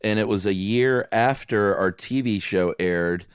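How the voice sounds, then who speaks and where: A man with a low, smooth voice chats as a podcast co-host. His manner is informal and laid-back, and the recording is very dry. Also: measured pace, dry recording. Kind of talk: casual chat.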